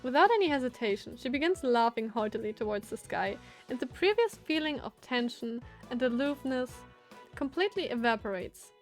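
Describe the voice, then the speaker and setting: High-pitched woman streamer, hesitant and casual. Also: expressive, fairly quick, faint music behind. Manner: hesitant, thinks aloud. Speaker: streamer reading a visual novel. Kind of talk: casual livestream commentary and read-aloud narration.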